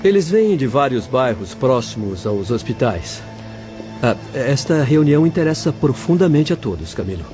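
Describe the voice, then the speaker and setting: A man's voice: mid-range voice, very animated, normal speed, a little mellow, lightly acted. A man playing an earnest, polite doctor guiding spirits in an audio drama. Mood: courteous. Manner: polite, explanatory. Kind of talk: dramatised audio-book dialogue. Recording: dull lo-fi audio, faint music. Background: faint background music.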